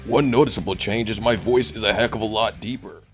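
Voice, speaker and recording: A man voicing a teenage boy in a cartoon, in a plain, amateur voice with a very lively delivery. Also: fairly low pitch, fairly quick, lightly acted. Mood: casual. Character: young male cartoon character, boastful teen. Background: loud music.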